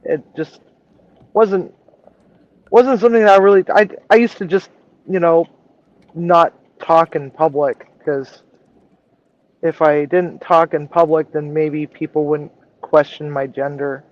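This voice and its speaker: A woman with a low voice, chatting frankly and casually as a regular on a livestream. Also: a little slow, pauses often, highs cut off. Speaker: chef and regular co-host.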